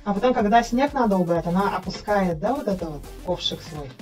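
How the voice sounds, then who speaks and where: A woman's voice: medium pitch, animated, a little fast, a little mellow, far from the mic, soft background music. A woman off camera playing with a child, asking encouraging questions in casual chat at home. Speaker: off-camera adult helping a child host. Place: indoor room at home.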